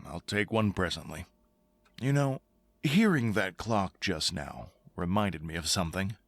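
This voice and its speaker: A man's voice: deep voice, animated, unhurried, no room sound, exaggerated voice. A man playing an authoritative middle-aged doctor and husband in the style of old time radio drama, clipped and insistent. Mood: pensive, calm.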